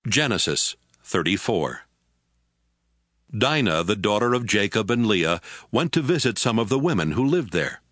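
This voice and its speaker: A man's voice: on the low side, big pitch swings, moderate pace, a bit bright, dry recording, clean studio sound. A man giving scripted narration of scripture as the narrator of an audio Bible. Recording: music bed under the narration.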